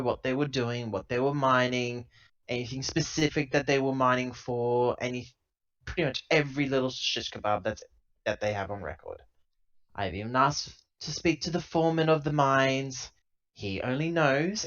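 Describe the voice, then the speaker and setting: Man, tabletop player, improvised in-character talk, halting and emphatic, muffled lo-fi audio. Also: mid-range voice, fairly bright tone. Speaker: tabletop role-playing player. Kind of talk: improvised in-character dialogue and narration. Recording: dull lo-fi laptop recording.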